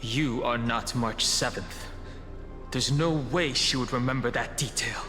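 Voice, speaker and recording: A man's voice: medium pitch, a little slow, lightly acted. A man voicing a stern, protective hero in a video game, terse, firm and controlled. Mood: certain, accusing. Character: stern, protective male hero warning off an enemy. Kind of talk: theatrical video game dialogue. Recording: long hall reverb over music. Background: music.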